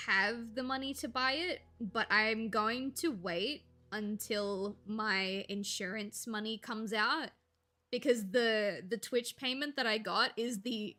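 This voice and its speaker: A young woman, chatting casually and rambling to viewers on a live stream, with a slight gravel in her voice when she talks quietly. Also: mid-range voice. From Australia. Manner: chatty, rambling, laughs easily, thinks aloud.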